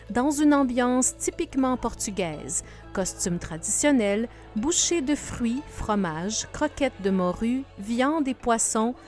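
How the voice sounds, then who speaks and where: A woman reading scripted documentary narration with a measured, clear delivery. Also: on the high side, darkish tone.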